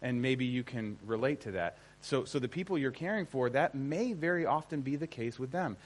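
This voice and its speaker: A man's voice: mid-range voice, expressive, moderate pace, rough recording. Conversational American man teaching a seminar. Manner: conversational, emphatic, often checks in with listeners. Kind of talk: informal seminar lecture to an audience.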